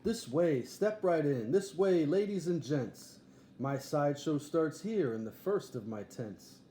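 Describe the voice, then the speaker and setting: A man's voice: on the high side, animated, measured pace, mellow. A man voicing an eager, boastful boy showman in a storybook, barking grandly like a ringmaster. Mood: thrilled, hawking. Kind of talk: storybook read-aloud character. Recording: echoey room, faint background noise.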